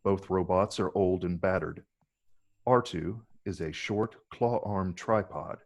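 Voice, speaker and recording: A man's voice: low-pitched, fairly even intonation, slow pace. A man narrating stage directions from a film script in a dramatic table read.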